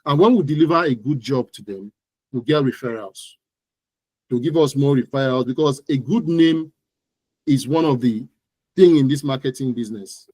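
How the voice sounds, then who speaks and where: A man's voice: mid-range voice, moderate pace, darkish tone, slightly rough, no echo, rough recording. A man with a Nigerian accent answering as an interview guest, speaking conversationally and repeating phrases for emphasis. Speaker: interview guest, digital marketing entrepreneur. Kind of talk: conversational interview about own business.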